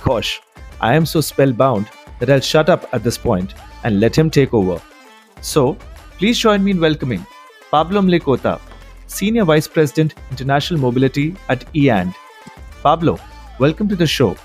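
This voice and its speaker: Husky-voiced effusive podcast host. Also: male voice, medium pitch, very animated, moderate pace, faint music behind. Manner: effusive, enthusiastic introductions. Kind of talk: interview hosting.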